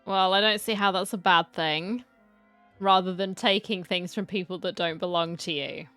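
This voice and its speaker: A woman with a slightly low voice comments casually on a game. She is blunt and sarcastic, using relaxed slang, with faint music playing behind her. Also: normal speed, dry recording. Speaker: gamer host reacting to a story quest.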